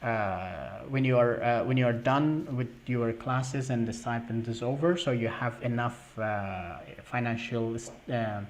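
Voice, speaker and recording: A young man hosting a live question-and-answer stream, reading viewer questions aloud and answering in a halting way with many fillers. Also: medium pitch. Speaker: livestream host answering scholarship questions.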